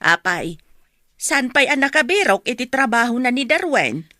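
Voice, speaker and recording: A woman's voice: high-pitched, very animated, normal speed, bright, forceful tone, dry recording, heavily acted. A woman acting in an Ilocano radio drama as a blunt, disapproving elderly grandmother.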